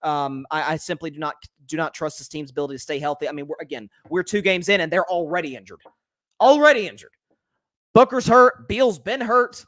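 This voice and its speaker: A young man hosting a solo sports talk show, talking quickly and animatedly, often repeating words as he builds a point and reading out viewer comments. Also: fairly high pitch, a bit bright, dry recording, clean studio sound.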